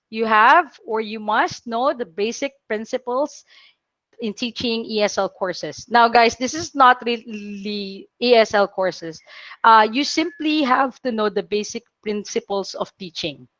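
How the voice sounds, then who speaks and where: A woman's voice: medium pitch, fairly bright tone, dry recording. Chatty Bicolano woman, dry muffled sound. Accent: Filipino, Bicol. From Philippines, Bicol region. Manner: chatty, switches languages mid-sentence, many fillers. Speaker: webinar speaker, company operations officer. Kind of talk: casual online talk, English mixed with Tagalog.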